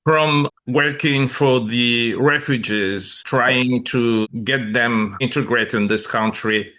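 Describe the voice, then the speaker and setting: A man's voice: mid-range voice, slow pace, bright, forceful tone. A male show host asking interview questions in a formal way. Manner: formal, introducing the guest.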